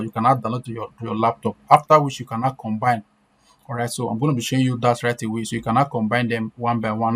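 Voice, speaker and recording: A man presenting an informal step-by-step screen-share tutorial, repeating himself and often checking in with short questions. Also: medium pitch. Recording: clear background noise.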